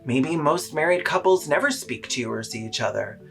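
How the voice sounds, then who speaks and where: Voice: medium pitch, lively intonation, moderate pace, vocal fry, a bit bright, soft background music. A video essayist giving scripted, sarcastic commentary with casual swearing and comedic asides.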